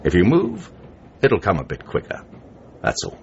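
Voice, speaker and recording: An actor playing a smug Soviet assassin who poses as a chummy English gentleman, taunting in clipped, mock-genteel slang in a radio drama. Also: on the low side, huge pitch swings, normal speed. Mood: coolly menacing. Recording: noisy, muffled radio broadcast recording.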